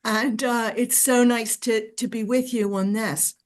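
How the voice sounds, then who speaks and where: A woman, a religious sister, giving an informal explanatory talk to an online meeting, often halting and repeating words. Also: a little high, steady tone.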